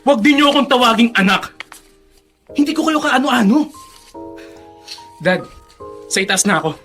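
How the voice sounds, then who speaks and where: A woman's voice: on the high side, lively intonation, normal speed, a bit bright, soft background music. A woman playing a resentful, defiant young son in a melodramatic radio drama. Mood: cold resentment.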